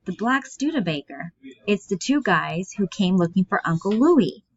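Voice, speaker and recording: A woman's voice: medium pitch, big pitch swings, normal speed. A woman voicing a worried, sensible teenage older brother in a stage play. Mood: worried, scolding. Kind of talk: reading a stage play aloud. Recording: dull, muffled lo-fi audio.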